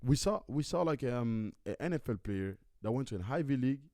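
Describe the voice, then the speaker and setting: Young guy in his 20s rapid-firing on a podcast, keeps saying you see what i'm saying, dry close mic. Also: medium pitch, animated, dark tone, dry recording. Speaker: podcast co-host. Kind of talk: casual podcast chat. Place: small indoor studio set with couch. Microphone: studio mic on stand, headphones.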